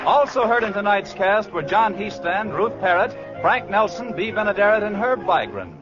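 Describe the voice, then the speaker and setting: Male announcer, vintage radio style, high voice, formal scripted read, music underneath, dull lo-fi broadcast. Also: animated, moderate pace. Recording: dull old radio recording, music behind.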